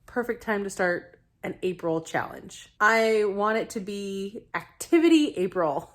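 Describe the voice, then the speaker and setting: A woman in her thirties vlogging casually to camera, her voice raspy from a lingering illness, chatty and self-deprecating. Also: mid-range voice, expressive, measured pace. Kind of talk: casual weight-loss vlog to camera.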